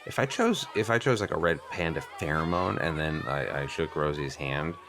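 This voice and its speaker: A man's voice: a bass voice, moderate pace, background noise. A man playing an inquisitive, bookish young boy in an improvised comedy radio play, earnest and persistent, rambling pedantically and missing social cues. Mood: eager, curious.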